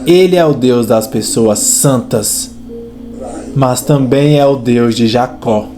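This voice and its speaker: A man's voice: medium pitch, slow pace, lightly acted. A man dubbing a fervent preacher in a sermon, speaking emphatically and with rhetorical repetition. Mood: earnest exhortation. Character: fervent male preacher exhorting a congregation. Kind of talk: dubbed sermon. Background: music.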